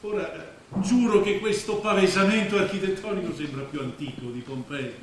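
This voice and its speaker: Male actor as condescending loquacious writer, stage drama, declamatory, high-pitched, echoey hall with faint noise. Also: fairly quick, natural voice. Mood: condescending, curious. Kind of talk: Italian stage drama acting.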